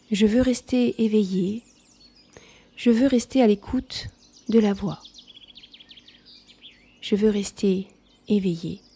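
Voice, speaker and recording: A woman leading a guided yoga nidra relaxation, speaking softly in a calm, soothing manner. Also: medium pitch, slightly rough.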